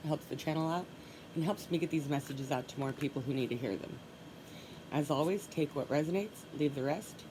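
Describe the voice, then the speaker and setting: A woman with a low voice and a congested, stuffy nose gives a solo tarot reading. She talks calmly and murmurs the same soft requests over and over as she draws cards. A steady hiss of noise sits under the recording. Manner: calm, softly repeats requests while drawing cards. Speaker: tarot reader.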